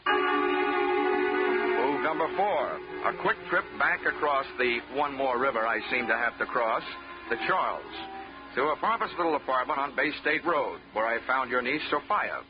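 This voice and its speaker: An American actor playing a wry, hard-boiled investigator in a 1940s radio drama, full of dry wisecracks. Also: male voice, medium pitch, sing-song, a little slow. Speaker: detective narrator and lead character. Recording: dull 1940s radio recording with music.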